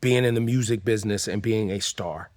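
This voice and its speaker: A man's voice: on the low side, steady tone, brisk, a little mellow. Emphatic middle-aged male co-host. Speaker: co-host, interviewer. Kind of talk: casual interview talk.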